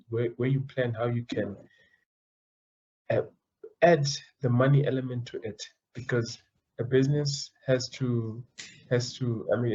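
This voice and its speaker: A man's voice: medium pitch, a little mellow, lo-fi sound. Earnest young man. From South Africa. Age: young adult. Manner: earnest, repeats words while searching for phrasing. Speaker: guest, student entrepreneur. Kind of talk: interview answers.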